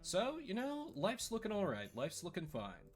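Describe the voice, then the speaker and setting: A man's voice: sits high, wildly swooping pitch, moderate pace, soft background music. Playful man streamer, slightly scratchy voice. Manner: playful, sarcastic, chatty. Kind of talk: casual live-stream chat.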